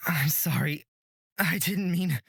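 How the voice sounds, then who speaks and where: A man's voice: fairly high pitch, takes it slowly, gravelly, a bit bright, breathy edge, no room sound, clean studio sound, heavily acted. A man playing an earnest, apologetic and stubbornly caring young hero in a fantasy visual novel. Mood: flustered.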